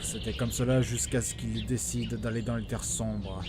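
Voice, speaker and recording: A man playing a proud, authoritative mentor sage in an acted audio drama, speaking in a measured way. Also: on the low side, fairly even intonation, over music, natural voice. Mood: solemn. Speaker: actor in amateur audio drama. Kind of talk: acted audio fiction dialogue.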